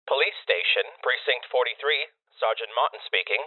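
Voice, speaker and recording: An actor playing a patient but dismissive police sergeant in a suspense radio drama, matter-of-fact and placating, through a telephone filter. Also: male voice, medium pitch, normal speed, narrow, tinny audio. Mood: businesslike. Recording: thin band-limited telephone sound, dry.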